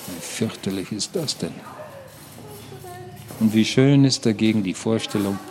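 A care-home inmate, played by a male actor in a radio play, talking in a fairly low voice. He is darkly cheerful and coaxing, leaning on rhetorical questions and dwelling on key words. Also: a little slow, darkish tone, lightly acted. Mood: emphatic, reassuring. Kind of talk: radio play monologue and dialogue.

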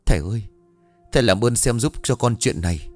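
A man's voice: mid-range voice, lively intonation, a little fast, a little mellow, soft background music, close to an everyday voice. A man voicing an anxious, distraught older mother in a horror story, pleading and wailing. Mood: urgent pleading.

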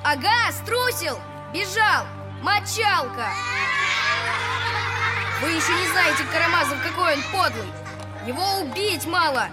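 A woman playing a cocky, bossy schoolboy ringleader in an audio drama, excitable and taunting. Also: very high voice, unhurried. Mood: excited, jeering. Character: cocky, jeering schoolboy ringleader, about eleven. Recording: studio drama with loud music underneath. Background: music.

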